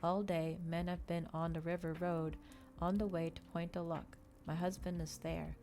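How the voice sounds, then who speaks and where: A woman's voice: lowish voice, level tone, moderate pace, warm tone, soft background music, close to an everyday voice. A woman voicing a frightened plantation woman who speaks in an urgent, accusing way in an audiobook.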